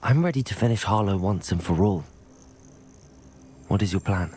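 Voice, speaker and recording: An actor playing a loyal, supportive young student wizard who speaks in a calm, steady way. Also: male voice, deep voice, moderate pace, slight background noise, close to an everyday voice. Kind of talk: video game voice acting.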